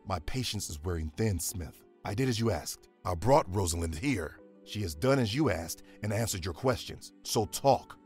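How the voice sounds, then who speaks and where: An actor playing a stern, impatient police detective in an audio horror drama, firm and commanding. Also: male voice, low voice, expressive, normal speed, a little mellow, faint music behind.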